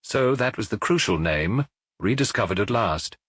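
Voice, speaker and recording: A man's voice: fairly low pitch, expressive, moderate pace, fairly bright tone, slightly rough, no echo. A man reading a detective story as an audiobook narrator, in a scripted, dramatic storytelling style.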